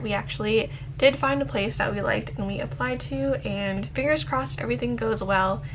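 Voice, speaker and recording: A woman in her twenties with a raspy morning voice, chatting casually through her skincare routine in a get-ready-with-me vlog, full of ums and likes. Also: fairly high pitch, background noise. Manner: relaxed, rambling, lots of ums and likes. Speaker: beauty vlogger.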